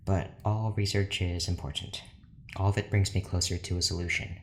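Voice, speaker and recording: An actor playing an obsessive, lonely, overworked scientist in an audio drama, formal and brooding. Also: male voice, deep voice, level tone, normal speed, a little hiss or hum, close to an everyday voice. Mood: quietly driven. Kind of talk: audio drama monologue, diary-like.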